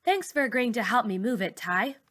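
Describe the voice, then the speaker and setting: A proud and guarded female teacher with exacting standards, played by a woman reader. Her bright voice is firm and earnest, with a defensive edge, in storybook dialogue. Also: a little high, expressive, brisk, pitched above the performer's own voice. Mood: frustrated. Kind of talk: children's novel read-aloud dialogue.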